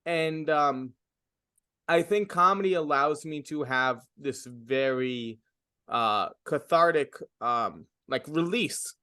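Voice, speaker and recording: Reflective young man, halting speech. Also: fairly high pitch, bright, forceful tone, smooth, dry recording, studio quality. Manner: reflective, halting, full of fillers and restarts. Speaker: comedian. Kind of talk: casual interview.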